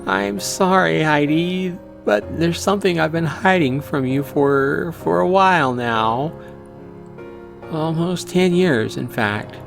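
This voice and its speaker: A man voicing a worried, guilt-ridden middle-aged mother in a visual novel, speaking haltingly and gently. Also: a little high, creaky, over music, pitched above the performer's own voice. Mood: sorrowful. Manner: halting, gentle, anguished delivery.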